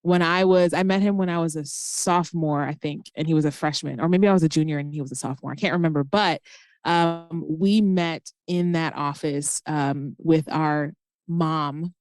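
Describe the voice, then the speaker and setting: Podcast guest: woman, thirties, from Nigeria, low smooth voice, casual storyteller, dry studio-like sound. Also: fairly even intonation, moderate pace, a little mellow, no room sound. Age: 30s.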